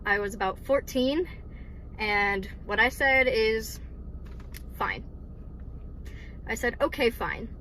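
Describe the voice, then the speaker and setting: A woman's voice: fairly high pitch, slow, pauses often, bright, forceful tone, rough recording. A woman in her twenties from Michigan tells her faith story in a casual, giggly way, dotted with ums and likes. A faint hiss of background noise sits under her voice. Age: 20s. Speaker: vlogger sharing testimony. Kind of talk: casual personal testimony.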